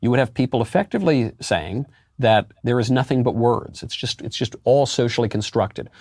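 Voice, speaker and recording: A man with a deep voice, a guest in a political interview, arguing emphatically with rhetorical questions and sudden jumps in pitch on key words. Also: animated, moderate pace, a little mellow, dry recording, clean studio sound. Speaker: interview guest, conservative commentator.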